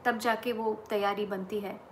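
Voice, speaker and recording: A woman's voice: mid-range voice, steady tone, measured pace, slight background noise. A woman in her thirties with an Indian accent, a singer giving thoughtful, earnest advice on practice, talking casually at a webcam in a small room. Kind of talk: casual talk mixing Hindi and English. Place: small indoor room against a plain wall.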